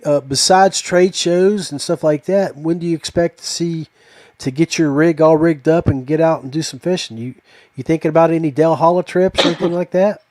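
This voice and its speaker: A middle-aged American man hosting a casual podcast chat in a halting, folksy, familiar way. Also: on the high side, darkish tone, no room sound. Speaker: podcast host.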